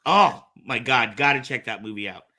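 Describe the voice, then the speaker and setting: A man's voice: medium pitch, expressive, moderate pace, noisy background. A man hosting a podcast in a casual, conversational style. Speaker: podcast host. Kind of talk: casual chat.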